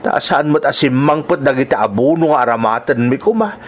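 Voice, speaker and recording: A hardworking old farmer father played by a man in an Ilocano radio-style drama. His voice is medium in pitch, weary but fond, and he explains things in a gentle, reassuring fatherly way. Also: fairly quick, natural voice. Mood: weary, explaining.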